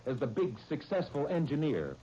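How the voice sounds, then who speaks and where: An American actor playing a proud, sarcastic, jealous husband, a struggling attorney, in a 1930s radio soap opera. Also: male voice, fairly high pitch, wildly swooping pitch, moderate pace, warm tone. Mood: jealous, accusing. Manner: bitter, sarcastic, theatrical. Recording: muffled, noisy 1930s radio recording.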